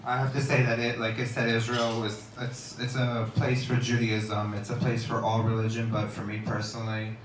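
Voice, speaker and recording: A man's voice: fairly low pitch, monotone, normal speed, hardly any pauses. Man, rambling guest chat, lots of fillers, roomy echo, far from the mic. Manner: rambling, many fillers and restarts. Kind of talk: casual radio chat. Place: outdoors on a beach. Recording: roomy, distant mic, faint noise.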